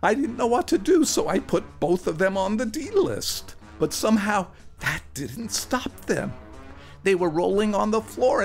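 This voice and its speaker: Male narrator for a radio monologue: fairly high voice with fry, animated, wryly earnest storytelling. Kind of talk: dramatic first-person storytelling for radio.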